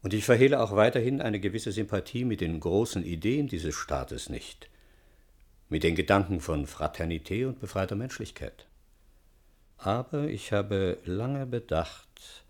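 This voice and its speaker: An actor playing a dignified, weary older father, speaking in measured, formal, eloquent phrases in a radio play. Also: low-pitched, darkish tone. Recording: music under studio radio drama. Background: music.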